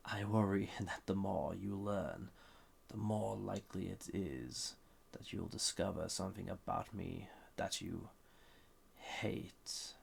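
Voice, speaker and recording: Man voicing a gravelly, husky banished ancient villain. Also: lowish voice, exaggerated voice. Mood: shy, self-conscious. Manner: hesitant, soft-spoken, intimate, dramatic pauses. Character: banished ancient villain, accursed living weapon, lonely and flirty. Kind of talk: intimate audio roleplay monologue to the listener.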